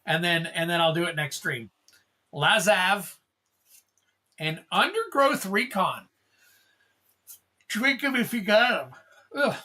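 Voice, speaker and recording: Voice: mid-range voice, expressive, slow, pauses often, sharp tone. A livestream host opening card packs, chatting casually, calling out card names and thanking viewers in a rambling way.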